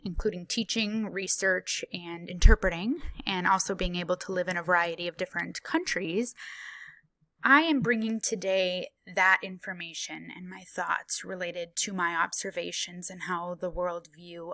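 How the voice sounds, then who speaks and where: A woman interprets a presentation aloud, her low voice carrying a touch of fry under a bright, forceful edge. She moves phrase by phrase in a measured, formal manner, with small pauses and fillers. The sound is close and dry, with no room around it. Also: dry recording. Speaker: interpreter voicing a signed conference talk. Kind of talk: live spoken interpretation of a presentation.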